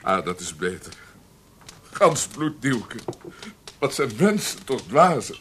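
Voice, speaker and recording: A man's voice: medium pitch, very animated, slow, fairly bright tone. An actor playing a heartbroken, guilt-ridden man with emotional, self-pitying delivery in a radio play. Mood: forced merriment. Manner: emotional, self-pitying, swinging from subdued to agitated. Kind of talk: Dutch radio play acting. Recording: hissy old radio play recording.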